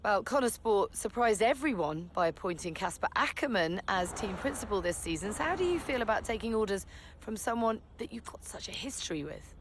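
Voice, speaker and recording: An actress playing a motorsport TV reporter, asking polished, direct questions in a game cutscene interview. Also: female voice, medium pitch, expressive, a little fast, slight background noise, close to an everyday voice. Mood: probing. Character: poised, probing female motorsport TV reporter.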